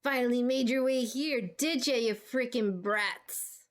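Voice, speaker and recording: A menacing masked game villain, acted out by a woman in a high voice. The delivery is slow, sneering and taunting, full of contempt, with music playing behind it. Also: close to an everyday voice. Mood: mocking.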